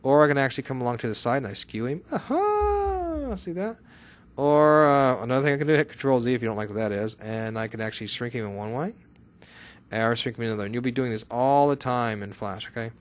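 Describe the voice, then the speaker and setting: A man giving a casual software tutorial as an instructor, chatty, with exclamations and frequent rhetorical check-in questions. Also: medium pitch, lively intonation, fast.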